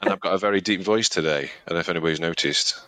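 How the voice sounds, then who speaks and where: Deep-voiced chatty man, close and dry. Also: rapid-fire, pushed and bright, dry recording. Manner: chatty, rambling with restarts and laughs. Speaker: podcast co-host, amateur runner. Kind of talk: casual chat.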